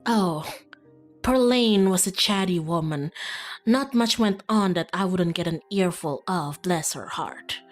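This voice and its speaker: A woman's voice: on the low side, measured pace, soft background music, lightly acted. Woman voicing a kindly old small-town lady. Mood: fond, sympathetic.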